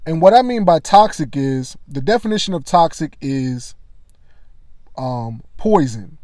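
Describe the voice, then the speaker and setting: A man with a loud voice giving casual, conversational commentary on sports media, often adding "you know what I mean.". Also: on the high side, expressive, a little slow, no echo.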